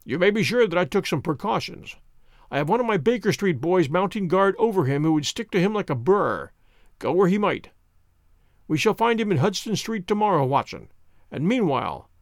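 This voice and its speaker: A man reading an audiobook, voicing a calm, commanding detective in a measured, lowered voice. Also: no echo, studio quality. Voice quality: measured, low and cool.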